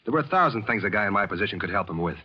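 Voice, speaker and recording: A man's voice: fairly low pitch, expressive, rapid-fire, breathy edge. An actor playing a cool, sardonic American tough guy in a 1940s radio thriller, talking in terse slang. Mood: sardonic, brazen. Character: hard-boiled American man bluffing villains, cool and sardonic. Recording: muffled old-time radio recording.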